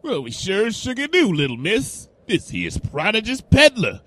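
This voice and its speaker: A man's voice: sits high, huge pitch swings, moderate pace, fairly bright tone, full character voice. A man voicing a protective, folksy pony father in a wasteland audio drama, with a drawling Southern US accent. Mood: warm, jovial.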